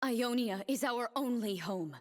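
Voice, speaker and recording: An actress voicing a proud, commanding warrior dancer who defends her homeland, speaking solemn, measured lines for a video game. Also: fairly high pitch, animated, in a large hall. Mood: grim resolve.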